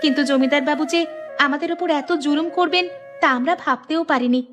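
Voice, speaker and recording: A troubled young village woman acted by a woman with a very high voice. She is respectful and plaintive, in a cartoon with music clearly playing underneath. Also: moderate pace, a bit bright. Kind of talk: cartoon dialogue.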